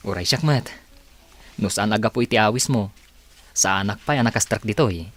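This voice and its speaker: A man's voice: on the low side, brisk, fairly bright tone, lightly acted. A man playing an easygoing young man chatting with a companion in a radio drama. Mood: relaxed, friendly.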